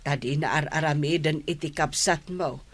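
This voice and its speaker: An actress with an Ilocano accent playing a kindly older mother in a radio drama, affectionate and drawn-out. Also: low-pitched. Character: kindly older mother fussing over grown daughter.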